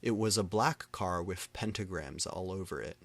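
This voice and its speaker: A man's voice: low voice, moderate pace, vocal fry, no room sound. A man reading fan fiction aloud in a dramatic, comedic style, pronouncing misspellings and stray symbols literally. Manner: reads misspellings and punctuation literally.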